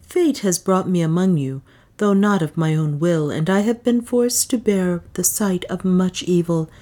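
A woman voicing a gentle, frightened but righteous male priest in an audiobook, soft, girlish and solemn. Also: fairly low pitch, measured pace, close to an everyday voice. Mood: solemn protest. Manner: solemn, formal, earnest.

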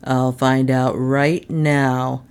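Gruff male detective as acted by a woman: low, unhurried, bullying and sarcastic, for a mystery audiobook. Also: little pitch movement, a little mellow, full character voice. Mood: suspicious. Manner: gloating, badgering.

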